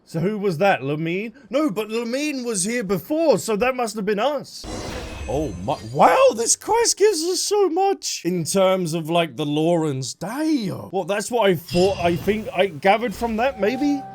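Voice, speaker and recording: Animated man with a high-pitched voice. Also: unhurried, a little hiss or hum. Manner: thinks aloud in reaction to the story. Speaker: game streamer. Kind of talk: casual reaction commentary on a video game. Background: game music.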